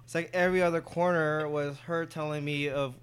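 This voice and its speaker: Smooth-voiced American man telling casual stories. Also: fairly high pitch, steady tone, fairly quick, a bit bright. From born in Indonesia, moved to the US at five. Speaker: interview guest, food business owner. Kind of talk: casual conversational storytelling.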